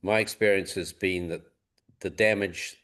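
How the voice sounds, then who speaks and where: A man with a distinctly low voice talks through technical advice. He sounds like a practical, experienced rebuilder speaking on a group call. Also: fairly even intonation, measured pace, fairly bright tone. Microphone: conference call.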